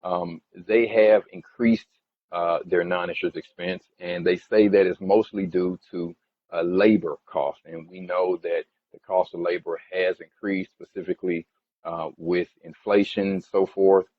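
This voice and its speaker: Man, very deep voice, halting plain-spoken analyst commentary, informal presenter, muffled lo-fi recording. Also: steady tone. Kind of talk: informal explanatory commentary over earnings slides. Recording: dull, lo-fi voice recording.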